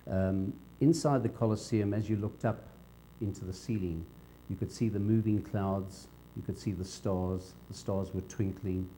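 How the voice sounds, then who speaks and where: An older man with a South African accent recalls his working life in an interview, speaking in a measured, halting way. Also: fairly even intonation, mellow. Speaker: interviewee, veteran cinema cameraman. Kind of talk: on-camera reminiscence. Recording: dull low hum, faint background noise.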